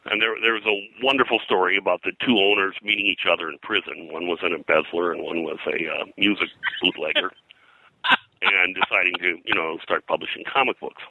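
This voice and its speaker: An older man talking in a rambling interview style, halting and digressive as a guest historian. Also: deep voice, fairly even intonation, gravelly, sharp tone, dry recording, poor audio. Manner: halting, digressive, frequent "you know". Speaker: guest, pop culture historian.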